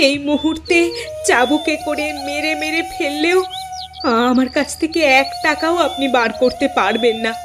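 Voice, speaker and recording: A woman's voice: sits high, normal speed, close to an everyday voice. A woman voicing a poor village mother in a cartoon, speaking in a plaintive, respectful and dramatic way. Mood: pleading. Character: poor, pleading village mother, abandoned wife. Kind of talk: Bengali cartoon voice acting. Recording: loud background music under the voice.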